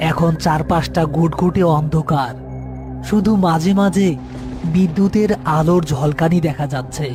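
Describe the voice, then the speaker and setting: A man's voice: on the high side, normal speed, music in the background. A man narrating a scripted horror story as storyteller.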